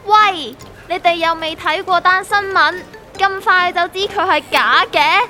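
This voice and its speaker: A naive, excitable novice investor in a comedic radio drama, acted by a woman, with a very high, bright Hong Kong Cantonese voice. Music plays under the dialogue. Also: a little slow, full character voice. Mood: eager.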